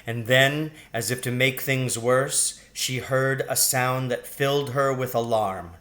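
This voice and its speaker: Guy reading a kids book out loud, really slow and expressive, mid-pitched voice. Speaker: audiobook reader. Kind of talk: children's storybook narration.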